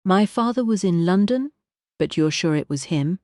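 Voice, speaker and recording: A narrator voicing a young woman hotel owner, earnest and shaken, asking anxious, halting questions. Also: fairly low pitch, lively intonation, a little mellow, dry recording, clean studio sound, pitched above the performer's own voice, natural voice.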